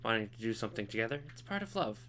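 A man voicing a sweet, polite young princess in a game, raising his voice softly. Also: lowish voice, very animated, racing, slight background noise. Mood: gentle.

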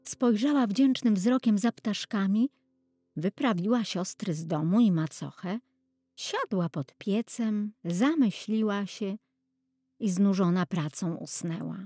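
A woman reading a scripted fairy tale for children in a measured, expressive storybook manner. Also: medium pitch, slightly rough, no echo. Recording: music under the voice. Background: music.

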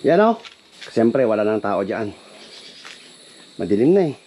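A man vlogging as he walks through an overgrown yard, chatting to viewers as he guides them along, sometimes going quiet and hushed. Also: on the low side, sing-song, a little slow, a little hiss or hum. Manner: chatty, guiding viewers, hushed when uneasy. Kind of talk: casual tour narration. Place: overgrown rural yard outdoors.

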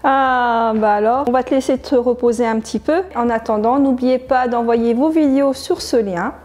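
A woman in her thirties, a gym coach, giving clear step-by-step exercise instructions in a living room. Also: on the high side, moderate pace, a little mellow.

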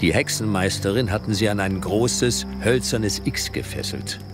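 A male narrator tells a scripted horror tale in a deep voice. The delivery is dramatic storytelling, set against prominent, loud music. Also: moderate pace.

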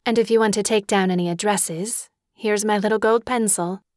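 A woman acts out a young society wife in a literary audio drama. She is coquettish and murmuring, with a medium pitch. The sound is dry and intimate. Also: steady tone, normal speed, a little mellow, dry recording, close to an everyday voice. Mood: playfully genial.

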